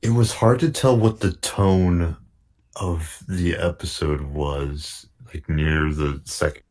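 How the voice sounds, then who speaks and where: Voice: low voice, steady tone, slow pace, a little mellow. A panelist giving frank but hesitant critique in a casual group chat.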